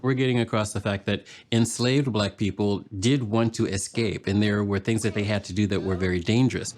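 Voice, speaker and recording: A man speaking as an artist and puppeteer in a reflective documentary interview, measured and explanatory. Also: fairly low pitch, fairly even intonation, a little mellow, a little hiss or hum.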